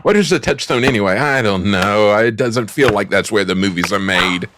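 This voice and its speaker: A man acting as a petulant, excitable, juvenile studio executive in a comic radio drama, whiny and histrionic. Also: on the low side, moderate pace, noisy background, close to an everyday voice. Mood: flippant, petulant.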